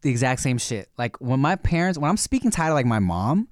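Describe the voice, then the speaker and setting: A mid-pitched man in casual podcast conversation, easygoing and rambling, full of fillers and restarts. The sound is dry and close on the mic. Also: big pitch swings, brisk, no echo. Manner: laid-back, many fillers and restarts. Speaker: podcast co-host.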